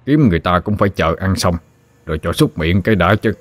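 A man's voice: low-pitched, expressive, quick pace. A man with a Southern Vietnamese accent voicing a wry old exorcist who scolds a spirit in a teasing way.

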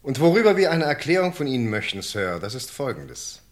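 A man's voice: mid-range voice, very animated, fairly quick, lightly acted. An actor playing an earnest British police sergeant in a 1960 German radio drama, formally polite and insistent as he questions a witness. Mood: earnest explaining.

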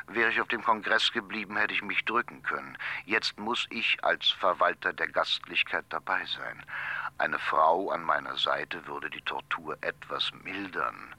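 A smooth-mannered, demanding official in a radio drama. His very low voice has a hard, forceful edge and a gravelly creak, and he words his wry demands formally. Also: heavily acted. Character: Nico, smooth, demanding male city official. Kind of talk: German radio drama acting.

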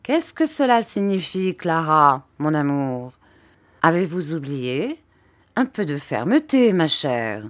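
A woman voicing a controlling husband in an audiobook, measured and courteous with a cold menace. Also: low voice, sing-song, full character voice. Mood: cool reproach.

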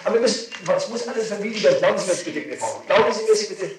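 A man's voice: sits high, animated, rapid-fire. A man speaking urgently during an interrogation, repeating himself and interjecting, on an old archival tape. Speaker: participant in a police questioning. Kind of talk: interrogation conversation. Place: echoey room, recorded from far off. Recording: distant, echoey, noisy archival tape.

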